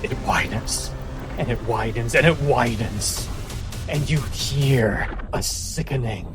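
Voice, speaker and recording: A man narrating a tabletop fantasy game as game master, dramatic and suspenseful, with deliberate emphasis. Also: medium pitch. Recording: loud music behind voice.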